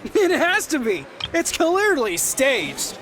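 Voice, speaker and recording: A woman's voice: high voice, wildly swooping pitch, slow, a bit bright, a little hiss or hum, exaggerated voice. A woman voicing a doubtful young tournament fighter, hesitant and disbelieving in a video game cutscene.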